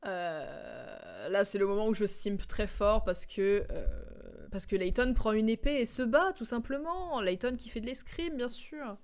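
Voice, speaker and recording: Young woman streamer chatting over a game, slangy, teasing, normal pitch for a woman. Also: lively intonation, measured pace, hardly any pauses. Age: young adult. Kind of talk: casual game stream commentary.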